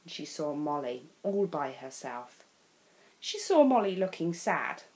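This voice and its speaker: A woman from England with an English accent reading a children's picture book aloud as a storyteller. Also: sits low. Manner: slow, expressive read-aloud.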